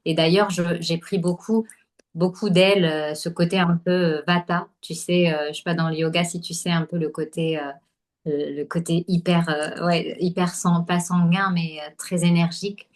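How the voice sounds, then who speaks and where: A woman from Algeria, a podcast guest, talking casually with many pauses and fillers. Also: on the low side, little pitch movement. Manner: hesitant, many fillers and restarts. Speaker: podcast guest, holistic nutrition coach. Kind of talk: casual conversational interview.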